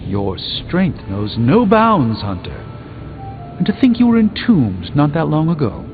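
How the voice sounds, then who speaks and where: A man's voice: on the high side, wildly swooping pitch, unhurried. An actor playing a pompous, refined middle-aged male sorcerer in a video game, speaking formally with dry wit. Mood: courteous, appraising. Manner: pompous, formal, dryly wry, theatrical. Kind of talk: scripted video game dialogue. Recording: thin phone-like band, music behind.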